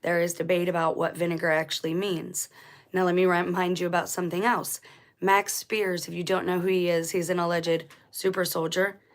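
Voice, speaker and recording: A woman with a lowish voice hosts her own channel, talking casually and drifting between thoughts. Her throat sounds dry and a bit scratchy, and she often backs up to fix her words mid-sentence. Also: little pitch movement, moderate pace. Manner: rambling, self-correcting, conversational. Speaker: channel host. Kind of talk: casual commentary vlog.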